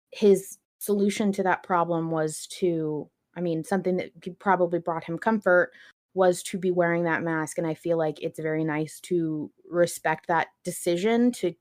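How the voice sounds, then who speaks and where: A woman's voice: on the low side, a little mellow. A woman co-hosting a podcast, talking casually about a story in an earnest, reflective and emphatic way. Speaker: podcast co-host. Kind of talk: casual discussion of a fiction podcast.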